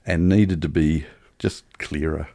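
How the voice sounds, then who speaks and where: A man's voice: a bass voice, fairly even intonation, unhurried, warm tone, no echo, clean studio sound. An Australian man co-hosting a podcast, chatting casually about film in a thoughtful, searching way.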